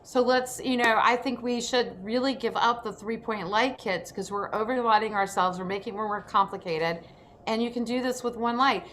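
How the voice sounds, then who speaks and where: A woman's voice: a little high, moderate pace, a bit bright, slight background noise. A woman hosting and instructing in an online session, giving practical advice in a direct, decisive way. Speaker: program host and instructor.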